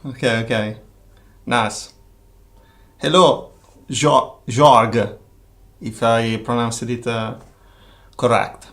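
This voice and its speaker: Italian-accented man, slow-talking livestream host, halting and a little tired. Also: medium pitch, steady tone, a bit bright. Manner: slow, halting, many filler pauses. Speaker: board game designer hosting a livestream Q&A. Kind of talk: casual livestream chat.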